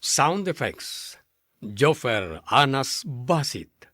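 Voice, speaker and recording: A man hosting a radio drama program, delivering scripted announcements in a measured, formal way. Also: mid-range voice, very animated, sharp tone, no room sound, studio quality.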